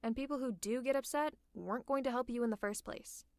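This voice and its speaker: A woman playing a tough but caring female supervillain in an ASMR roleplay, speaking in a conversational, reassuring and gently teasing way. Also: fairly high pitch, a little fast, dry recording, studio quality, natural voice. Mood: soft, concerned. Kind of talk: ASMR roleplay audio drama.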